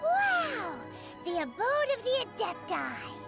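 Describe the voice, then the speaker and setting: A woman voicing a tiny, excitable, childlike fairy companion, chirpy and eager, in a video game. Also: very high-pitched, slow pace, creaky, music in the background, exaggerated voice. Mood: awestruck. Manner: chirpy, refers to herself in third person. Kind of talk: video game character voice lines.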